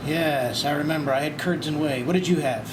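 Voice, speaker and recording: Echoey, deadpan man acting as a spoof movie doctor. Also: on the high side, fairly quick, close to an everyday voice. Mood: grave deadpan. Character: deadpan male doctor in an airplane disaster spoof. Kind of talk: amateur comedy table read. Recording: echoey, noisy room with hum.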